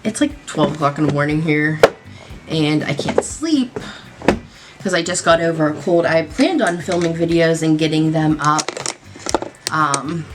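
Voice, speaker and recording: A woman's voice: sits low, background noise. A young woman doing her makeup on camera, rambling casually with lots of fillers, her voice a little nasal after a cold. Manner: rambling, lots of likes and ums. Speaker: beauty vlogger. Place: home at night.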